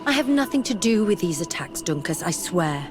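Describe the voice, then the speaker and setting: A woman's voice: lowish voice, big pitch swings, moderate pace. An actress playing an earnest, curious young female scholar in a video game, speaking politely and formally. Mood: defensive. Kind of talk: video game dialogue acting. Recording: music behind the voice.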